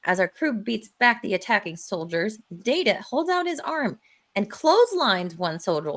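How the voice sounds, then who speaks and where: A woman reading stage directions aloud in a casual, lively live table read. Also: medium pitch, moderate pace.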